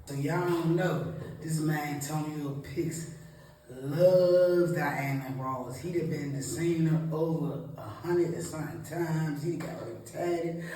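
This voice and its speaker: A man's voice: fairly high pitch, steady tone, takes it slowly, dark tone. A man hosting an interview, reading prepared questions with a showman's flair. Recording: roomy echo, faint noise.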